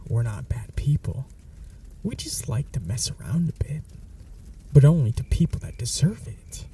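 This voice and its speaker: A man playing a gravelly, whispering vampire. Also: medium pitch, moderate pace, distant mic. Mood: smug. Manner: soft half-whispered, mocking and calm. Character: smug teasing male vampire, dominant and possessive. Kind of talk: ASMR audio roleplay.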